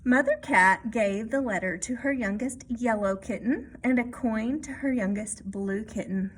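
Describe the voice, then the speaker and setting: A woman reading a children's picture book aloud expressively, pausing to add explanations and check-in questions for young listeners. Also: fairly high pitch, breathy edge. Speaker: read-aloud storyteller.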